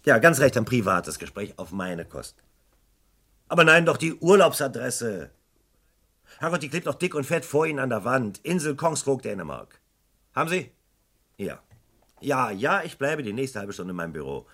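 An actor playing a gruff, middle-aged police chief inspector in a German radio drama, brusque and grumbling. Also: male voice, medium pitch, sing-song, normal speed, long pauses, fairly bright tone. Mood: brusque, irritated.

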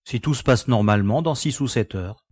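A practical spaceship pilot in a sci-fi audio drama, played by an actor. His voice sits at a medium pitch with a very dry studio sound, and his delivery is relaxed and reasonable. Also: a little fast, husky, dry recording, close to an everyday voice. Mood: agreeable.

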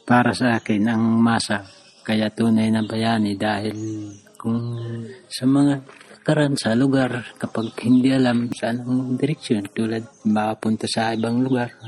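A man's voice: medium pitch, little pitch movement, unhurried, a little mellow. A man giving a spoken testimonial in his own words.

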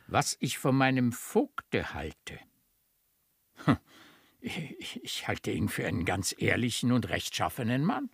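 An actor playing a courteous, anxious master of a country household in a classical German radio comedy, speaking formally and emphatically. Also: male voice, medium pitch, wildly swooping pitch, slow, breathy edge, no room sound, clean studio sound, heavily acted. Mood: pressing, uneasy.

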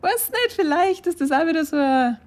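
An actress with a Bavarian accent playing a curious, chatty young photographer, hesitant and colloquial, in a radio drama. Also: female voice, very high-pitched, expressive, normal speed. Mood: teasing, sceptical. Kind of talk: naturalistic radio drama dialogue.

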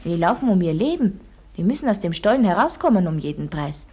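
A woman's voice: lowish voice, very animated, brisk, mellow, slightly rough. A woman playing an Austrian village schoolteacher in a radio play, quizzing her pupils and telling them a heroic tale with didactic emphasis. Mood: dramatic, suspenseful. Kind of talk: radio drama, classroom storytelling.

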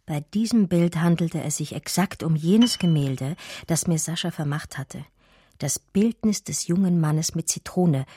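A woman's voice: low-pitched, mellow, breathy edge, exaggerated voice. A woman playing an articulate, biting art historian who tells her own story in a radio drama. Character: female art historian recounting her discovery in first person. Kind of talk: dramatic first-person radio play narration.

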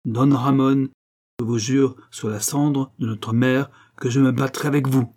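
A man's voice: mid-range voice, fairly even intonation, unhurried, a little mellow, voice pushed up, natural voice. A man voicing a proud, troubled young duke in an audiobook, solemn, emphatic and imploring with his brother. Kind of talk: audiobook dialogue acting.

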